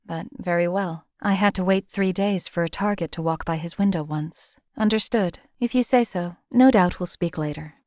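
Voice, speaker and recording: A woman's voice: fairly low pitch, moderate pace, hardly any pauses, darkish tone, no room sound, natural voice. A woman playing a composed female assassin for hire in a video game, speaking in a dry, matter-of-fact way. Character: cool, lethal female assassin companion for hire. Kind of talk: video game companion voice lines.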